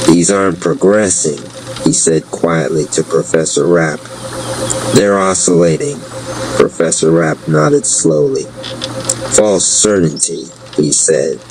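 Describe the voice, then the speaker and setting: A man narrating a scripted audio drama in a measured, solemn storytelling delivery. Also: deep voice, noisy background.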